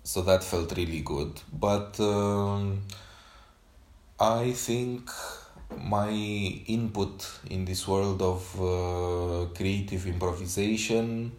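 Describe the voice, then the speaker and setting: A man whose first language is Romanian hosts a solo podcast journal, talking off the cuff. He speaks slowly in a low voice, halting often to search for words. The room sounds echoey, with a faint hiss behind him. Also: steady tone, a little mellow. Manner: hesitant, many pauses and fillers. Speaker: podcast host.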